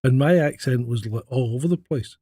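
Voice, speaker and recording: Animated Scottish man, dry close sound. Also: mid-range voice, a little fast, breathy edge, no room sound. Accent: Scottish, West Coast leaning. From Scotland. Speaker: podcast co-host. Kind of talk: casual chat.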